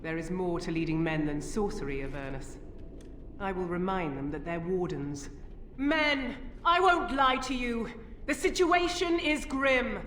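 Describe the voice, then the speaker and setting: A woman's voice: medium pitch, lively intonation, unhurried, a bit bright. An actress playing a resolute female commander in a fantasy game, declaiming defiantly to rally besieged troops. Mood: grave, firm. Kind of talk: fantasy video game oratory. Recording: echoey hall, distant, faint noise.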